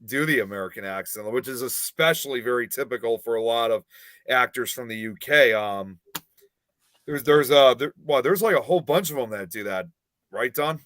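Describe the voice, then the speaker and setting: A man's voice: mid-range voice, lively intonation, normal speed, a bit bright, no echo. A man co-hosting a casual podcast, chatty and fond of sharing trivia. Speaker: podcast co-host.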